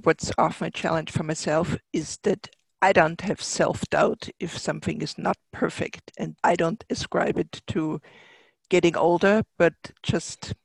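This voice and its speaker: A man interviewing a guest in a measured way and reading out listener questions. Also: on the high side, steady tone, gravelly, husky, dry recording.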